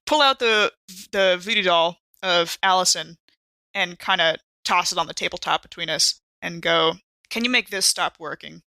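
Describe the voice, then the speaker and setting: A woman's voice: fairly low pitch, moderate pace, bright, forceful tone, dry recording. A woman improvising casually as a player in a tabletop horror podcast, with a wry, sardonic manner.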